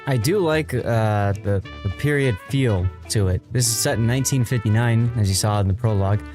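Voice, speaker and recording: A man's voice: lowish voice, moderate pace, music in the background. A man streaming a video game with casual, easygoing live commentary, thinking aloud as he goes.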